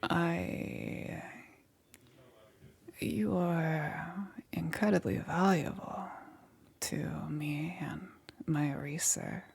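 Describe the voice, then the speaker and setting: Woman playing a lonely witch necromancer, low-pitched, creaky, soft hesitant whispers, gently possessive, intimate ASMR roleplay. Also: fairly even intonation, heavily acted. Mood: worried, coaxing. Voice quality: breathy, husky, often whispering. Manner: hesitant, halting, murmured.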